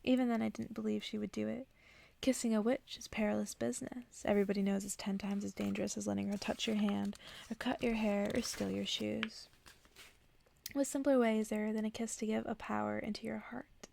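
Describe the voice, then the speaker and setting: A woman reads a bedtime story in a soft, very creaky voice with a dark, mellow tone. Her delivery stays even and hushed, often drifting into whispers in ASMR style. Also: medium pitch. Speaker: ASMR reader.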